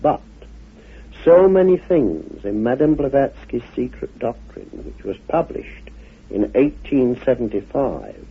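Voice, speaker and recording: A man explains esoteric history like a lecturer, in measured phrases with emphatic pauses. His voice is husky and very lively in pitch. The sound is narrow and muffled, like vintage radio heard down a phone line. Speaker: lecturer, guest speaker on a documentary.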